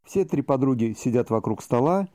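A man's voice: medium pitch, animated, normal speed, dark tone. A man reading scripted stage narration for an audio play. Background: music.

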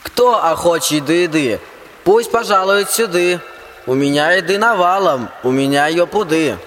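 A man's voice: fairly high pitch, animated, unhurried, fairly bright tone. A male amateur actor playing a mysterious, hospitable island spirit, declaiming inviting rhymes in a radio fairy tale. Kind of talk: rhymed radio play, amateur school production. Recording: long reverb, faint water noise.

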